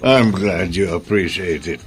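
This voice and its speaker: A man playing a courteous, courting restaurant manager in a radio drama, speaking in a deliberate, gentlemanly way. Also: low voice. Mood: romantic. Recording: radio drama with music behind.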